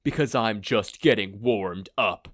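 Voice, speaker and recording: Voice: medium pitch, unhurried, no room sound, clean studio sound, close to an everyday voice. A performer voicing a cocky, menacing male beast-man villain with a dog-like head in an audio fan fiction.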